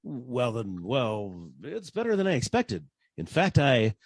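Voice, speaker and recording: Man playing a husky, wisecracking private eye. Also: mid-range voice, wildly swooping pitch, slow, no echo, full character voice. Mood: impatient. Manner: dry, sarcastic, exasperated. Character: weary, wisecracking male private detective, sarcastic boss. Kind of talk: 1940s radio detective comedy acting.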